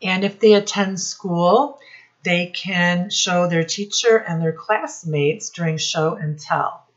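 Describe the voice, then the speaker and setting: Low-voiced American woman teaching young children. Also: takes it slowly, lo-fi sound. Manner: instructive, asks questions, addresses listeners directly. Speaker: children's teacher and read-aloud host. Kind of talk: storytime lesson addressed to young children. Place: home office classroom.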